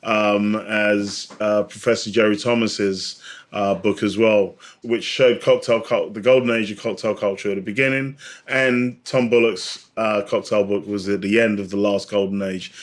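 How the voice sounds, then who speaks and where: Low-voiced man in a podcast interview. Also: steady tone, normal speed. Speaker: podcast guest, drinks industry advocate. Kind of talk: conversational interview, storytelling.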